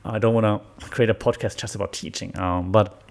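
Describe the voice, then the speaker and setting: A man's voice: on the low side, steady tone, brisk, creaky. A man from Germany with a German accent, talking casually on his own podcast, rambling with many fillers and restarts. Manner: rambling, frequent fillers and restarts, laughs often. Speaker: podcast host. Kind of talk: casual solo podcast talk.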